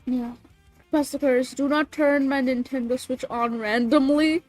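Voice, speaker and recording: A high-pitched woman with a cold, sniffling as she chats with her livestream viewers. She talks bubbly and loose, swears now and then, and reads creepy stories aloud. Soft music plays faintly behind her. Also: fairly even intonation, unhurried, rough recording. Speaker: streamer reading creepypasta. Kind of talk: casual livestream chat and reading aloud.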